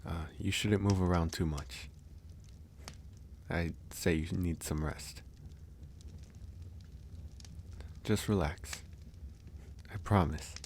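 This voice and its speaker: Guy doing a young werewolf for asmr roleplay, really low voice, slow n soothing, gentle loner, bit of noise in the background. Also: fairly even intonation. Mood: gentle, reassuring. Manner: soft-spoken, reassuring, unhurried. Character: gentle young male werewolf living alone on a mountain.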